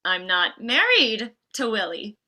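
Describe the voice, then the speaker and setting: Woman playing high, bright, sugary Mrs. Claus. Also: very animated, slow, full character voice. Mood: gently needling. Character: Mrs. Claus, old, sweetly cheery, nagging wife. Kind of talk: table read comedy acting.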